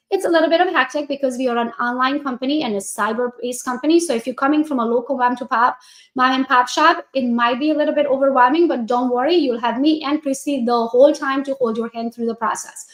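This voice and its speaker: Hungarian-accented woman coach, high voice, energetic and chatty, echoey room. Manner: energetic, chatty, addresses viewers directly. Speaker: real estate coach. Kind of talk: coaching walkthrough on a live stream.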